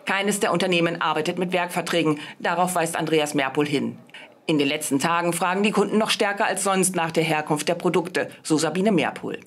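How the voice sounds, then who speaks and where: A woman's voice: on the low side, fairly quick. Female news reporter reading voice-over. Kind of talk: scripted narration.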